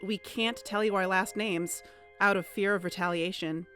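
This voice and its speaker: A woman's voice: mid-range voice, fairly even intonation, normal speed, a bit bright, faint music behind, lightly acted. A woman playing an earnest amateur investigator in a scripted podcast drama, speaking conversationally.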